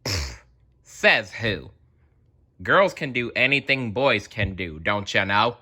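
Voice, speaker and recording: A man's voice: lowish voice, big pitch swings, takes it slowly, sharp tone, husky, full character voice. A man voicing a plucky, determined storybook girl with an earnest, defiant way of talking. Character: plucky, determined young girl who dreams of knighthood.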